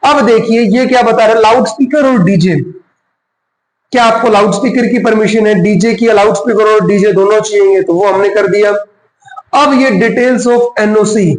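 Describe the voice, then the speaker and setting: A man leads a casual step-by-step tutorial. His voice sits quite high for a man. He explains each step and slips into English terms, and the room adds a clear echo around him. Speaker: tutorial host. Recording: roomy, echoing voice recording.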